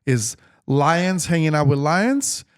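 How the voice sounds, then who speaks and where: A man's voice: a little high, slow pace, clear, resonant tone. A man from Italy speaking English with an Italian accent, a tailor talking casually in an interview, with lots of restarts and fillers. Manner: rambling, many restarts and fillers, animated.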